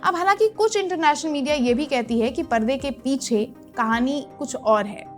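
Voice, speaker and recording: High-pitched woman narrating news over music. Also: moderate pace. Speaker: news presenter. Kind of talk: scripted news explainer narration. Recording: music bed under the voice. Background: background music.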